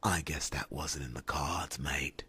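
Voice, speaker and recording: A man's voice: rumbling low, unhurried, bright, forceful tone, slight background noise, heavily acted. A man playing a sadistic, gloating demon servant in an ASMR fantasy roleplay, with a taunting, whispery delivery. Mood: mocking threat.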